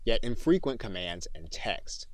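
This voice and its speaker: A man giving a methodical, clearly enunciated software tutorial as an instructor. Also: on the low side, sing-song, measured pace, no room sound. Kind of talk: scripted tutorial narration.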